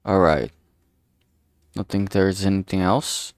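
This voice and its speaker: A man's voice: deep voice, steady tone, slow, dry recording, studio quality. A man casually talking through his digital art work on a livestream, murmuring each step as he goes. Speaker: streamer making a visual novel. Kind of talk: casual livestream commentary while drawing.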